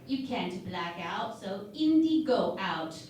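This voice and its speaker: A woman's voice: mid-range voice, animated, a little mellow. A woman playing a breezy, suggestive chief medical officer aboard a starship in a parody radio play. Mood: cheeky, breezy. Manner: unhurried, suggestive, breezily reassuring. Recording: very echoey room, distant mic, faint noise.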